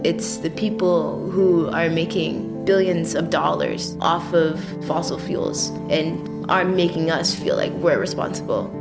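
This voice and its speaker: Twenty-something woman activist, gravelly and fired up, guitar blasting in the back. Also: deep voice, a little slow, few pauses. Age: 20s. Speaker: climate activist. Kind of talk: interview. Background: loud guitar music.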